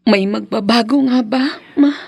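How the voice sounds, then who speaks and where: A woman's voice: fairly high pitch, normal speed, creaky, warm tone, slightly rough, no room sound. A woman playing a devoted, dependent young wife and mother in a radio drama. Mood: sad, apologetic.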